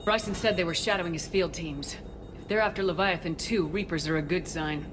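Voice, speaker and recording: Casting: woman plays no-nonsense military commander for a game, assertive, businesslike, under loud music. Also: fairly low pitch, a little fast, natural voice. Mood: determined. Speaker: game protagonist. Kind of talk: scripted video game acting.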